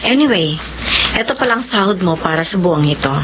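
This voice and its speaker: A woman's voice: on the low side, lively intonation, brisk, natural voice. An actress with a Filipino accent playing a kind, generous, well-off employer, assured and motherly, in a sentimental radio drama. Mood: approving. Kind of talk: sentimental radio drama acting, Tagalog-English mix. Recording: dull lo-fi audio, faint background noise.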